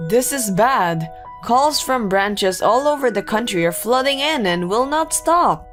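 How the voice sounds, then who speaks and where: A woman's voice: fairly high pitch, lively intonation, a little slow, music in the background. A woman voicing a blunt, businesslike office employee in a scripted manga dub. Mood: urgent, blunt. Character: blunt female office employee reporting to her boss.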